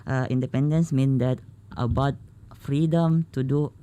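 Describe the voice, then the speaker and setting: A man's voice: mid-range voice, steady tone, measured pace, mellow, clean tone. A man with an Indonesian accent, a radio guest answering briefly and politely with hesitations.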